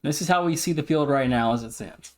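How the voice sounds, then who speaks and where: A man's voice: a little high, fairly quick. A man co-hosting a sports podcast, casually running through standings and team updates. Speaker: podcast co-host.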